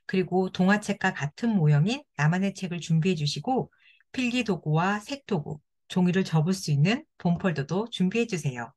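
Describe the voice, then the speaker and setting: A woman as instructor, narrating a children's craft and writing lesson in a polite, clear, step-by-step way. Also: mid-range voice.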